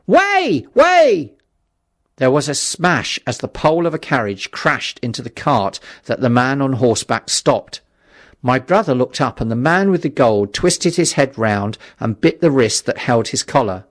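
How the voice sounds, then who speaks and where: A man reading a classic novel as an audiobook narrator, in measured, dramatic storytelling. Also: mid-range voice, lively intonation.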